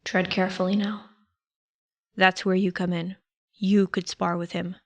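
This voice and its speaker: A woman's voice: lowish voice, flat delivery, a little slow, no echo. A woman voicing a guarded, determined male teacher in an audiobook, earnest and restrained. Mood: firm, persuasive. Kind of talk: audiobook character voice.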